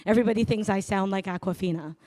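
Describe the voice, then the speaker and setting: A woman with a raspy voice, loud and brash with self-mocking humor, speaking on stage into a handheld mic as a notary at a business event. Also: mid-range voice, quick pace. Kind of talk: live stage sponsor talk.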